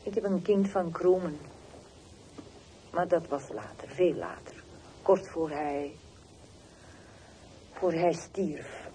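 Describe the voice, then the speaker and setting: Bitter hurt middle-aged woman on the phone, mellow tone, terse, old radio play w/ some hiss. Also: on the low side. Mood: grieving, intense. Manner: terse, reproachful, deliberate pauses. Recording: noisy old radio drama recording.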